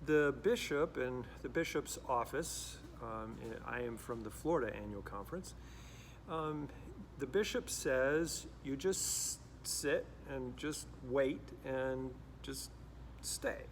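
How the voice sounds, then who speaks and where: A man's voice: mid-range voice, slow, slight background noise. A middle-aged man who grew up in Costa Rica, a pastor speaking to camera in a reflective, earnest way with frequent restarts. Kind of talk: direct-to-camera talk.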